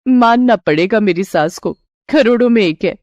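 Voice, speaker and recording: A woman voicing a polite, easily amazed young daughter-in-law in a Hindi cartoon moral tale, respectful and expressive. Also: mid-range voice, normal speed, no echo, lo-fi sound, lightly acted. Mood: delighted. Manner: respectful, wide-eyed, expressive. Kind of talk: cartoon storybook character voice.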